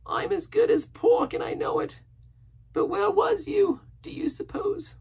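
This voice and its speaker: Crazy old marooned sailor from a storybook, super high cracked voice, loud, sly n excitable. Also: male voice, a little slow, vocal fry, heavily acted. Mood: fearful, threatening. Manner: rustic pirate grammar, excitable. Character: half-crazed marooned old sailor, pleading, sly and pious.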